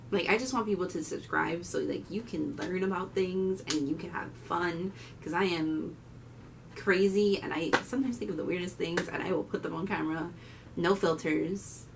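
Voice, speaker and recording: A woman's voice: on the low side, vocal fry, recorded from a distance, slight background noise, low-quality recording. Chatty Miami woman in her twenties. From Miami, Florida. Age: 20s. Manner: chatty, candid, swings into loud bursts. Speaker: vlogger. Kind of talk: casual talk to camera.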